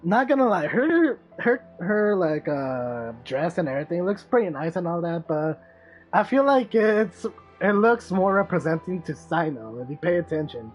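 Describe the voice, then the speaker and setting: A woman streaming a game, giving casual, halting commentary with repeated words. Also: fairly low pitch, very animated. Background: faint game music.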